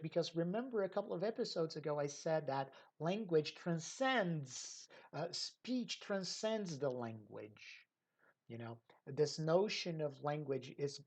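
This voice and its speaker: A woman's voice: sits low, darkish tone. A woman teacher trainer giving an unscripted explanatory lecture, stressing and repeating key points and often checking in with listeners. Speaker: teacher trainer hosting an educational episode.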